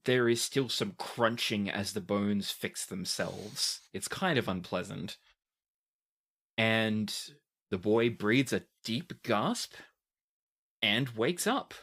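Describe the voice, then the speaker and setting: A man narrating a tabletop game as game master, casual and halting as he improvises descriptions. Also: on the low side, fairly bright tone.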